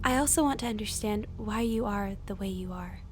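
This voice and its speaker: A woman voicing an earnest, idealistic cartoon therapist with a measured, formal, articulate delivery. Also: mid-range voice, over music, close to an everyday voice. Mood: calm, firm. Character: earnest, composed female therapist, idealistic and firm. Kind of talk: animated film voice acting.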